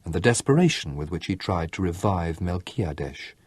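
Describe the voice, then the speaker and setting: A man reading a novel aloud as an audiobook narrator, measured and storytelling, with slow dramatic pauses. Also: deep voice, big pitch swings, a little mellow, breathy edge, no room sound. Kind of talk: scripted literary narration.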